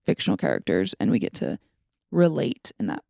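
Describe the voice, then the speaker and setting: A woman's voice: rumbling low, sing-song, fairly quick, vocal fry, a little mellow, dry recording, clean studio sound. A young woman co-hosting a podcast, chatting casually and giving opinions in very informal speech sprinkled with "like" and mild swearing. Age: young adult. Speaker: podcast co-host.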